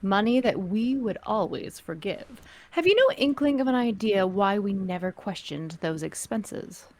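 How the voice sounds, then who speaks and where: Woman acting as an imperious, animated crime boss. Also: medium pitch. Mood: scornful anger. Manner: imperious, menacing, deliberate. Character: ruthless, icy female crime syndicate boss. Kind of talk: fan script table read, dramatic acting.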